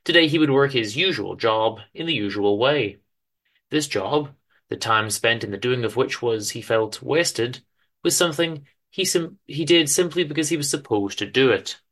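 A man giving a dramatic reading of a short story, in a dry, measured, formal manner. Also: mid-range voice, lively intonation, fairly bright tone. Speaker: author-narrator reading his own story on a podcast.

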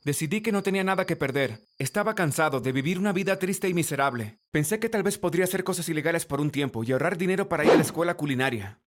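Guy with kinda high voice narrating a scripted first person story. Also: expressive, quick pace, hardly any pauses.

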